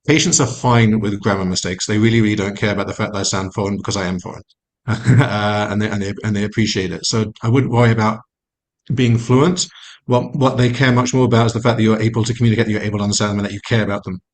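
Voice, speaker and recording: A man's voice: fairly low pitch, fairly even intonation, fast. A man, a family doctor on a webinar panel, answering frankly and plainly, a little self-deprecating, over a video call.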